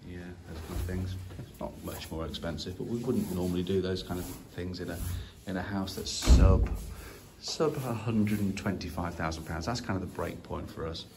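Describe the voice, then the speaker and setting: Man, very low voice, phone held out, empty rooms, faint hiss. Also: normal speed. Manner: casual, hesitant, many fillers and restarts. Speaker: property investor. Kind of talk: walkthrough video. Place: empty house indoors. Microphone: phone at arm's length.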